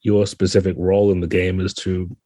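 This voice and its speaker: A middle-aged man with a New Zealand accent, talking reflectively and explaining things in a relaxed interview. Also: sits low, a little fast, no room sound, studio quality. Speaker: podcast guest, game designer.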